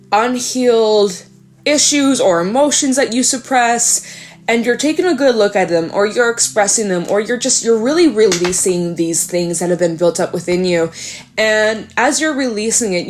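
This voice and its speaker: A young woman with a stuffed-up nose, reading tarot cards casually to her viewers, chatty and rambling with lots of fillers. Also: mid-range voice. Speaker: tarot reader.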